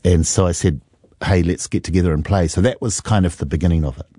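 A man's voice: low voice, fast, mellow, slightly rough, no room sound, clean studio sound. A middle-aged New Zealand man, a musician, telling stories reflectively and unhurriedly in an interview, with many fillers. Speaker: musician guest. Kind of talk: relaxed interview storytelling.

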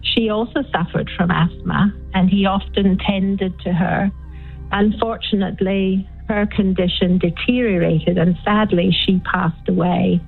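A woman's voice: mid-range voice, fairly even intonation, gravelly, breathy edge. Older Scottish woman on a phone line. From Scotland. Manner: chatty, unhurried, hesitant with ums. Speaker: caller. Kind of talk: phone-in storytelling. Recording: tinny phone line with faint music.

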